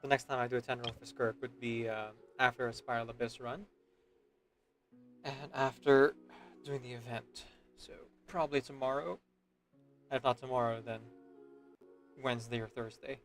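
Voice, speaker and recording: A man's voice: medium pitch, steady tone, moderate pace, pauses often, a bit bright. A man doing casual gameplay commentary, musing to himself in a hesitant, trailing way. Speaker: streamer. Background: game music behind the voice.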